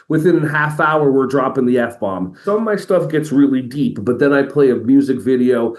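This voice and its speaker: A man speaking as an educator and motivational speaker in a podcast interview, energetic and playful, often slipping into quoted voices. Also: medium pitch, reverberant. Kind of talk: animated conversational interview.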